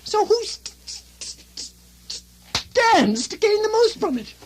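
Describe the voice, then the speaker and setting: Very high, stuttering, panicky nobleman. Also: big pitch swings, heavily acted. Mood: agitated. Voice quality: heavy comic stutter. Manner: hysterical, overwrought, halting. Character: panicky, stuttering, excitable Scottish nobleman in a comedy. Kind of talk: comic radio play acting. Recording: faint noise on old radio recording.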